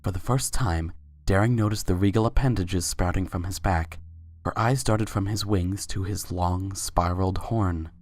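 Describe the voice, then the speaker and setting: A man's voice: low-pitched, normal speed, a little mellow. A man reading scripted story narration in a dramatic reading of fan fiction.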